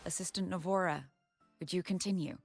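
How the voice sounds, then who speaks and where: A woman voicing a composed female lecturer in a video game, reciting ancient myth in a formal, measured way. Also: fairly low pitch, steady tone, creaky, music in the background. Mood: composed, instructive.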